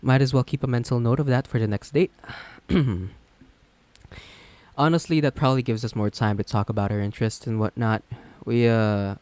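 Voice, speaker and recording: A man's voice: mid-range voice, fairly even intonation, moderate pace, pauses often, darkish tone, smooth, no room sound, lightly acted. A man playing a smug, flirty businessman supervillain, chatty and sardonic, in a romantic roleplay audio drama. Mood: flirty, curious. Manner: chatty, sardonic, self-assured.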